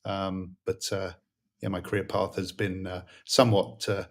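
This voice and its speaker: A British man in his fifties, a guest in an interview, talking in a relaxed way with many pauses and fillers. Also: low voice. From Britain. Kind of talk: interview conversation.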